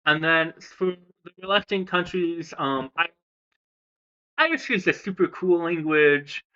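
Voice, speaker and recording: A man's voice: on the high side, animated, unhurried, bright, forceful tone, lo-fi sound. A man from the United States talking casually about languages and countries, hesitant and full of fillers and restarts. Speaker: language vlogger. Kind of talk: casual rambling commentary.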